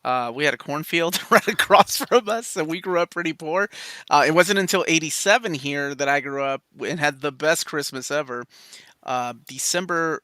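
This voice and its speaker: Higher-pitched, bright-voiced man from Peru. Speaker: podcast host. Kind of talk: casual chat.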